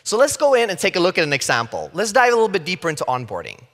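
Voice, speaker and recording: A man in his thirties from Sweden with a Swedish accent, giving a playful, lively tech conference talk on a large stage. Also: sits high, rapid-fire. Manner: lively and playful, acts out quoted opinions. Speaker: conference speaker. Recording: reverberant hall.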